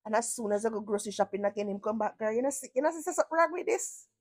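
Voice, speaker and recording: A woman's voice: fairly high pitch, lively intonation, very fast. A woman from Jamaica with a Jamaican accent gives sarcastic reaction commentary, blunt and full of profane patois.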